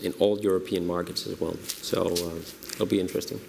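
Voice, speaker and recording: A man from Denmark with a low, gravelly voice introduces himself on a conference panel. He speaks in short, halting phrases over faint room ambience. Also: level tone, a little hiss or hum. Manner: halting, brief. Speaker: company founder, panelist.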